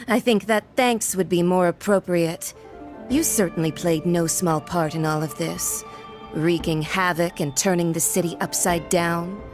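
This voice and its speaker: A woman plays a haughty, low-voiced villainess in a fantasy game. She speaks with formal, condescending elegance and cool mockery, with clear music underneath. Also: unhurried, lightly acted. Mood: amused, mocking. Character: haughty, mocking female villain emissary, coolly imperious. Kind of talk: video game voice acting. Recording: prominent background music.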